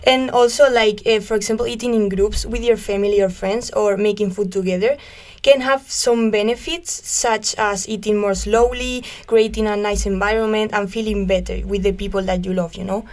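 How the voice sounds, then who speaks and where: A woman's voice: mid-range voice, fairly even intonation, normal speed, darkish tone. A young woman from Spain co-hosting a volunteer radio show, explaining nutrition facts with enthusiasm and easy laughs.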